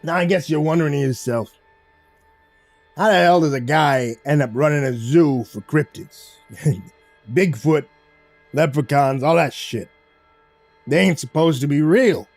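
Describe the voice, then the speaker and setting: A man's voice: a little high, measured pace, long pauses, darkish tone, dry recording, faint music behind. Man doing a genial crime boss, chatty, cocky, slangy, expansive, audio story character. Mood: amused, showy.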